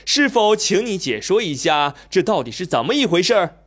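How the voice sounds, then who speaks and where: A man's voice: high-pitched, sing-song, normal speed. A man playing an inquisitive young reporter in a radio drama, polite but sceptical, with a questioning manner. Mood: polite, probing. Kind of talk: Chinese radio drama acting.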